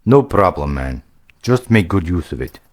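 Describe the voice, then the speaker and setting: A man voicing a big, burly Viking warrior who gives steady, plain advice in a storybook reading. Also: low voice, moderate pace. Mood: helpful.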